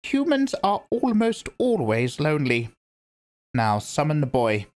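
A man reading aloud and voicing a stern, commanding old woman, a religious elder, in a sharp and lecturing manner. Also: a little high, huge pitch swings, measured pace, voice pushed up.

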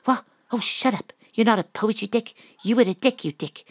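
Here is an actress playing a female private detective, wry and self-mocking, telling her case in an audio drama. Her voice is husky and recorded very dry, and she relishes every word with theatrical flair. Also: a little high, moderate pace, no room sound, natural voice. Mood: brisk, wry. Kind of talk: first-person detective audio drama narration and dialogue.

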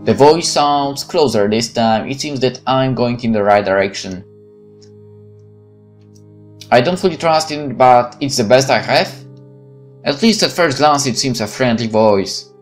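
A man's voice: mid-range voice, expressive, measured pace, long pauses, soft background music. A man playing a visual novel, reading it aloud and adding casual, playful asides. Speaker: gameplay streamer.

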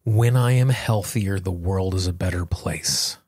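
A man with a lowish, husky voice hosts a self-help podcast. He speaks earnestly and encouragingly. The sound is dry, with little room around it. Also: a little slow, a little mellow, no room sound. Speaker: podcast host. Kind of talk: guided self-help tapping affirmations and podcast talk.

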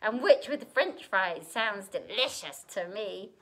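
A scary, hungry storybook dragon, performed by a woman. It draws out its words with mean menace and big pitch swings. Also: expressive, rough recording. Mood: menacing. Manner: menacing, drawn-out.

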